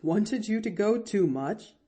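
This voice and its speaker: A woman voicing a kindly, grave country doctor, a man, with polite and gentle speech in an audiobook. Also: medium pitch, expressive, takes it slowly, husky. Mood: concerned. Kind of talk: audiobook character voice.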